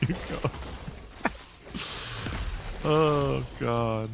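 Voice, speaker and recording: A man's voice: mid-range voice, very slow, creaky, background noise. A man streaming a game, chatting casually and rambling as he thinks aloud and answers chat. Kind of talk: casual gameplay commentary.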